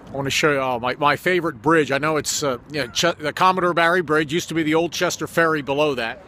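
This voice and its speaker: A man's voice: a little high, quick pace, sharp tone. A man in his fifties speaking as an enthusiastic announcer and interviewer, ad-libbing an on-location promo. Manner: enthusiastic, effusive. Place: outdoors by a sports complex. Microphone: phone at arm's length. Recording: outdoor phone video with background noise.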